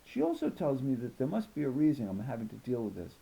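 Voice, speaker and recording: A man reading a children's novel aloud in an expressive storytelling style. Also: medium pitch, quick pace, gravelly, highs cut off. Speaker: audiobook reader.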